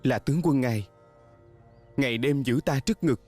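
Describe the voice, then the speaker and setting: A man's voice: mid-range voice, moderate pace, warm tone, natural voice. A man dubbing a devoted, self-blaming young male spirit in a fantasy drama, speaking earnestly and solemnly. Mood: solemn, sorrowful. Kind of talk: dubbed fantasy drama acting. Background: music.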